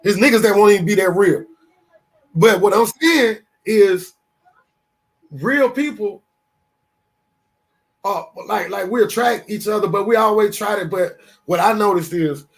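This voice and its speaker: Fired-up high-voiced man in his fifties. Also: a little slow, long pauses, poor audio. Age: 50s. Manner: animated, swearing, storytelling with restarts. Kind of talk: casual podcast talk.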